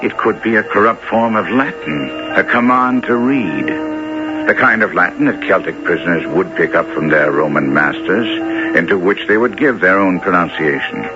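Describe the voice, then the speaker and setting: An actor playing a thoughtful, curious literary gentleman in a 1970s radio drama, speaking deliberately as he questions and narrates. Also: sits low. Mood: pondering, intrigued. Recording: dull 1970s radio recording with music.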